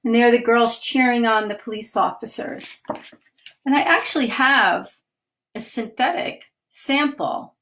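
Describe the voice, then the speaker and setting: A woman, a children's author, reading her picture book aloud in a livestream with clear, expressive delivery and chatty asides. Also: on the high side, measured pace. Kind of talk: children's storytime read-aloud. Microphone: webcam or phone for a livestream.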